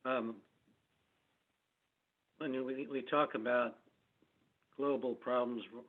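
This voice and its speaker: A man taking part in a conference call over a phone line, hesitant and deliberate, pausing mid-phrase as he makes his point. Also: mid-range voice, steady tone. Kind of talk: unscripted question and comment. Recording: tinny narrow phone line.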